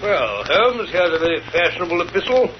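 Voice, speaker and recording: An actor playing a genial gentleman companion and storyteller in a 1930s radio drama, formal and measured. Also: sits high, sing-song, music in the background. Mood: eager, genial. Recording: muffled 1930s radio recording.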